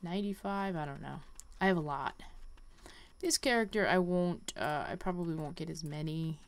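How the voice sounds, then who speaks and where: A woman giving casual game playthrough commentary, rambling and thinking out loud as she goes. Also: sits low, normal speed. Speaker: gaming video host.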